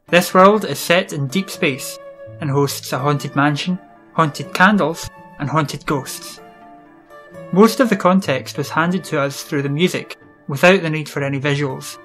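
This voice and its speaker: A British man hosting an educational video, reading scripted explanations in a measured, instructional way. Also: a little high, a bit bright. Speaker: video series host. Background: music.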